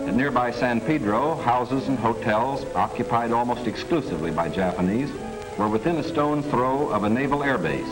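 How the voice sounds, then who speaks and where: An American man reading scripted 1940s wartime documentary narration in a measured, formal manner. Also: mid-range voice, low-quality recording. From United States. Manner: measured, formal, declarative delivery. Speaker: government film narrator. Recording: 1940s film soundtrack with music underneath. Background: music.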